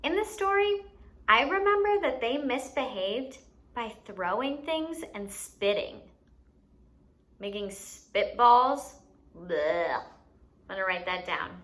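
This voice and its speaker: A woman's voice: mid-range voice, fairly bright tone. A woman hosting a lesson for young children, explaining things simply and expressively and putting questions to the listener. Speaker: children's teacher and show host.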